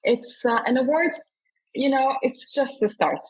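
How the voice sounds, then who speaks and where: A woman's voice: sits high, a little slow, breathy edge, low-quality recording. A woman speaking enthusiastically as a guest in a conversational interview. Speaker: award founder, interview guest.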